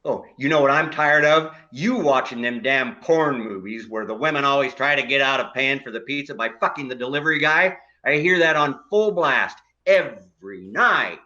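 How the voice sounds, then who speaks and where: Blustery, animated bickering neighbor played by a man. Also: medium pitch, moderate pace, hardly any pauses, dull audio. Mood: irritated, defensive. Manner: blustery, exclamatory, profane. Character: loud, defensive, gleeful bickering male neighbor. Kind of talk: comedic stage play acting.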